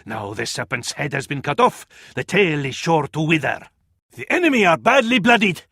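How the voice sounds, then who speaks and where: An actor with an Arabic accent playing a fervent, scornful medieval advisor to a sultan in a video game, in a florid, declamatory style. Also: male voice, on the high side, big pitch swings, normal speed, bright, forceful tone, slightly rough, no echo, studio quality, heavily acted. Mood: triumphant. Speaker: battle advisor in a strategy game. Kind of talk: theatrical video game voice acting.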